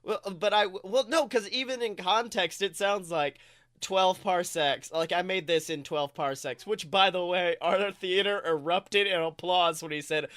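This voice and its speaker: A man with a notably high voice and a bright, forceful edge chats as a podcast co-host. He is excitable and informal, leaning on slang and swearing freely as he reviews. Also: normal speed, no room sound, clean studio sound. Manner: self-described nerd, animated. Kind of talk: casual film review chat.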